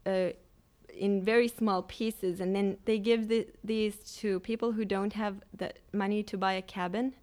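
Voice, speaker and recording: Lady on radio, lively, explains stuff, restarts a bit, studio. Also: medium pitch, moderate pace. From Finland. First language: Swedish. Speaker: guest singer. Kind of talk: radio interview conversation.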